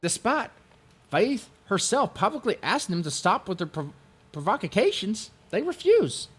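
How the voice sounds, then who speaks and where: A man voicing a shrill, aggrieved, mocking rival in an exaggerated, sneering dramatic reading, his voice raised and strained. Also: sing-song, unhurried, fairly bright tone, slightly rough, full character voice.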